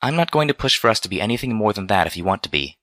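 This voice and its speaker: A man voicing a refined, teasing female pony with a smooth, silky voice and genteel, formal diction, in a storybook reading. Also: deep voice, normal speed, sharp tone, dry recording. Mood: gentle, silky.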